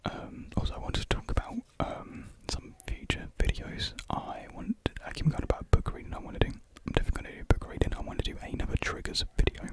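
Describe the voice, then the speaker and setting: Young guy whispering asmr style right up on the mic, breathy n unhurried, just casually rambling. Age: young adult. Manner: hushed, unhurried, informal. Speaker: ASMR video host. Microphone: desktop USB microphone up close.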